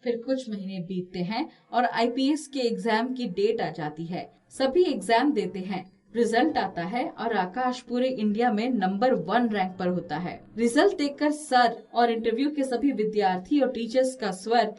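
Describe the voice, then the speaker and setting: A woman's voice: fairly high pitch, a little slow, hardly any pauses, a little mellow, far from the mic. A woman reading scripted narration for a moral story as the storyteller.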